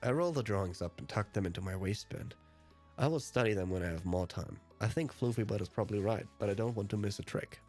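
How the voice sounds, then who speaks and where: A man's voice: lowish voice, fast talker, a little mellow. A native German speaker, a man, narrating and commenting on a visual novel as a let's play host. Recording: music behind the voice.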